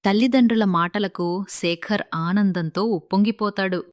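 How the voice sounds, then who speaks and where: A woman's voice: medium pitch, moderate pace, no echo, clean studio sound. A woman narrating a scripted moral story as the storyteller.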